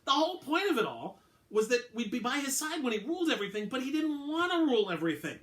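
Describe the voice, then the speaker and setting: A man's voice: very high voice, very animated, quick pace, fairly bright tone, voice pushed up, full character voice. A man voicing a middle-aged male clone henchman, earnest and rattled, who keeps correcting himself as he confesses in a story reading. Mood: anguished. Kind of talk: dramatic reading of a short story.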